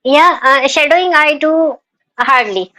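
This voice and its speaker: A woman with an Indian accent who hosts an English-learning podcast and speaks haltingly, with many fillers, restarts and frequent laughs. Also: high voice, sharp tone, no room sound, rough recording. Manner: laughs often. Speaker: podcast host, English teacher. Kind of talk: casual conversational podcast.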